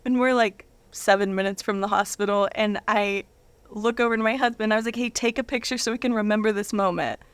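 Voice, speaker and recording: A woman in her thirties telling personal stories casually as an interview guest, in very informal, animated speech. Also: mid-range voice, a little fast, clear, resonant tone, dry recording. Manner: informal, animated anecdotes peppered with "like".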